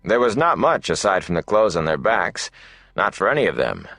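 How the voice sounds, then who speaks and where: A man narrating a fantasy novel as an audiobook, in a measured, dramatic storytelling manner. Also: sits low, big pitch swings, fairly bright tone, no room sound, studio quality, faint music behind.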